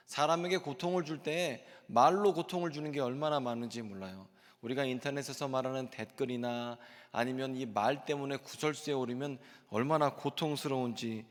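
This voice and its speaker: Middle-aged guy pastor preaching, earnest and explaining, big echoey church hall. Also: medium pitch. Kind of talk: sermon. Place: church sanctuary. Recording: long reverb of a church hall.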